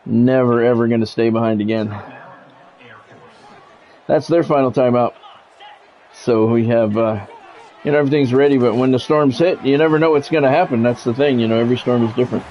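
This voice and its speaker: A man's voice: mid-range voice, brisk, pauses often, lo-fi sound, slight background noise. A middle-aged man doing live football game commentary mixed with casual chat, talking in a blunt, profane, conversational way. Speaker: game streamer and play-by-play commentator.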